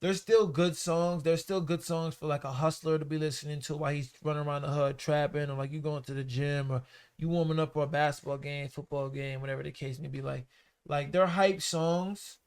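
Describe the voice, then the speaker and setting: Blunt, emphatic man, slightly high voice. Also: a little mellow, clear, resonant tone. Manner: blunt, emphatic, profane slang. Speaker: podcast co-host. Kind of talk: casual chat about rap and culture.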